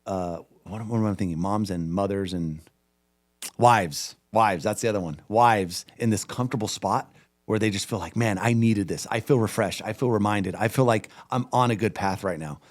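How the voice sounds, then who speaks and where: A man with a lowish voice co-hosting a podcast in easy, casual chat. He talks earnestly and rambles a little, trying out his phrasing as he goes. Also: moderate pace. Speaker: podcast co-host.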